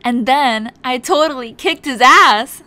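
Woman as a cocky youngster, fairly high. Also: a little slow. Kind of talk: amateur comic dub. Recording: loud music over the voice.

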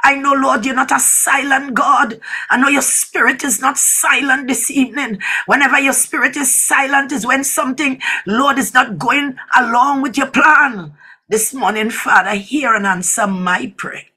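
Woman, fervent prayer leader; forceful, husky voice, pleading delivery. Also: high voice, steady tone, normal speed. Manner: pleading, repeatedly invoking God. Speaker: person leading prayer.

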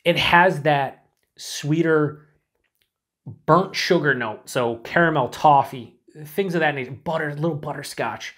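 A middle-aged man reviewing a beer alone to camera in a small room. He talks in a chatty, excited way, swears freely and sometimes drops to breathy whispers. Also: on the high side, a little slow. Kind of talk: casual solo review to camera.

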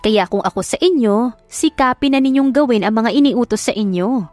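A woman plays a scheming Filipina stepmother in an audio drama. She talks in a high, haughty voice, mocking and taunting. Soft music sits behind the dry recording. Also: lively intonation, brisk, dry recording, natural voice. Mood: smug, threatening.

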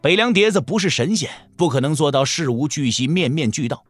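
Narrator, male: expressive, swooping delivery of scripted dramatic fiction, dry studio sound with a faint music bed. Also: on the high side, fairly quick, slightly rough, no room sound.

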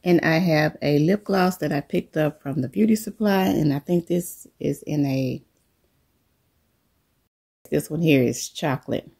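A middle-aged woman coming down with a cold, showing the contents of her bag to viewers in a relaxed, unhurried chat from her living room. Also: deep voice, gravelly, slightly rough. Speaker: vlogger. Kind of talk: casual show-and-tell chat.

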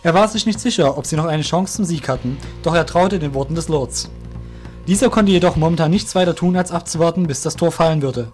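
A man narrates a scripted fantasy tale as a storyteller. His voice sits a little high for a man. Faint guitar music plays behind him. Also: a little fast, darkish tone.

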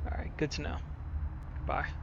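A man's voice: moderate pace, lo-fi sound, background noise. A man casually role-playing his side of video game dialogue. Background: game music and ambience.

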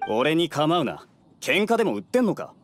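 A man's voice: fairly high pitch, sing-song, normal speed, faint music behind. An actor playing a curt, baffled, unfriendly young townsman in a game. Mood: irritated. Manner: curt, dismissive. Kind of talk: Japanese game voice acting.

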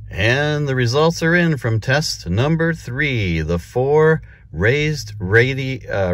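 A man's voice: fairly low pitch, dry recording. Folksy older man. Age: 50s or 60s. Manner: folksy, easygoing. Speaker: amateur radio vlogger. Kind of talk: casual narrated field demonstration. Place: outdoors in an open farm field and inside a parked car.

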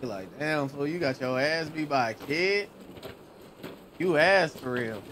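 A man's voice: on the high side, expressive, a little slow, noisy background. A young American man doing hyped, slangy let's play commentary on a headset. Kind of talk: excited gaming commentary. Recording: game music behind.

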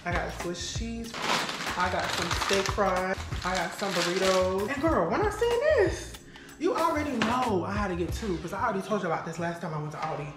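A woman vlogging a grocery haul in her home kitchen, talking in an animated, playful way with lots of slang and repeated catchwords, filmed by a camera a few steps away. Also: mid-range voice, a little fast. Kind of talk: excited casual vlog, grocery haul. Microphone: camera on the counter, a few steps away. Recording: echoey kitchen with music behind.

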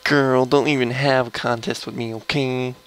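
A young man with a low voice, rambling casually through gameplay commentary at his computer. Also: fairly even intonation. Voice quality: low, gravelly voice. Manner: slow, mumbly and rambling, trailing off. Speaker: gamer streaming a mining session.

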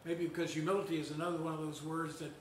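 An older man, a pastor, gives a seated sermon in a measured, storytelling way with gentle humor. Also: a little high, little pitch movement, a little hiss or hum. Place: church lounge with fireplace, indoors. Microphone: far camera. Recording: echoey room, voice heard from a distance.

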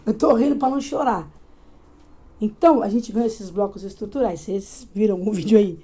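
A woman's voice: medium pitch, a little fast, warm tone, slightly rough. A woman in her forties from Minas Gerais, with a Minas accent, chatting loosely to her viewers in a casual home vlog, recorded on a phone held close while lying in bed. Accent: Brazilian Portuguese, Minas Gerais. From Minas Gerais, Brazil. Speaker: vlogger. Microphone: phone camera held close.

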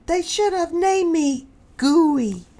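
Woman voicing a high-pitched earnest little porcupine. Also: expressive, slow, mellow, exaggerated voice. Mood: dejected. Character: small earnest polite young male porcupine. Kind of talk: children's storybook character voice.

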